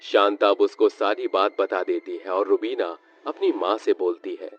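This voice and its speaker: A man's voice: on the low side, moderate pace. A man narrating a scripted story as a storyteller. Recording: muffled lo-fi sound with background music.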